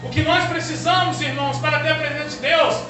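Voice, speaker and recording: A man preaching a sermon, impassioned, swinging between hushed passages and shouted calls while addressing the congregation as brother. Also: very high voice, steady tone, fast. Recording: echoey hall, voice far from the mic, music behind. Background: music.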